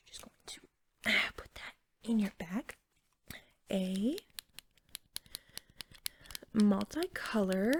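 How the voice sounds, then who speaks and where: A woman doing soft-spoken ASMR roleplay as a helpful shop cashier, gentle, breathy and chatty, sometimes slipping toward a whisper. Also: mid-range voice, slight background noise. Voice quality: soft, breathy, with slight fry. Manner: gentle, unhurried, chatty shop-clerk patter. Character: eager, helpful young female pet store cashier.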